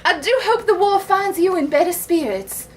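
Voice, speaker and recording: A woman's voice: extremely high pitch, expressive, normal speed, a little hiss or hum. A woman voicing a prim but bawdy Civil War wife who pines in letters, genteel, overwrought and melodramatic, for comedy. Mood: mournful, coy. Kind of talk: comic dramatic letter reading for a live audience.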